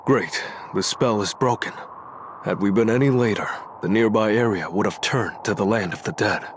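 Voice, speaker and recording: An actor voicing a calm, thoughtful young male sorcerer with a measured, serious delivery in an anime game style. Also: on the low side, background noise, natural voice. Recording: loud music behind the voice.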